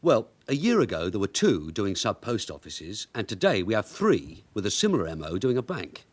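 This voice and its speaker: English police sergeant, brisk wry old hand, really up and down intonation, radio play. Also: male voice, fairly low pitch, huge pitch swings. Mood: hurried, bossy. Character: shrewd veteran London detective sergeant, brisk and wry. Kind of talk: British radio drama acting.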